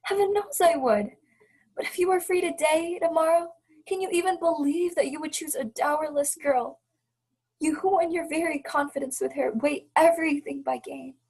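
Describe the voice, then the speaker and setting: A woman's voice: high-pitched, normal speed, darkish tone, rough recording. A young woman playing a heartbroken but resolute, dignified fiancée in a radio play, speaking earnest, formal period English. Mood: wounded, resolute. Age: young adult.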